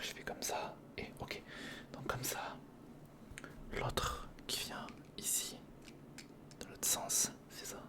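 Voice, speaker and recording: An ASMR creator speaking in a breathy, unvoiced whisper, casually muttering and thinking aloud through a hands-on build.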